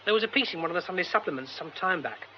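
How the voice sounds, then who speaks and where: Excitable high-pitched young British theatre man. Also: racing. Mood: earnest. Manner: exclamatory, effusive, breaking off mid-thought. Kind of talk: radio drama acting. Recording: dull, faintly noisy radio recording.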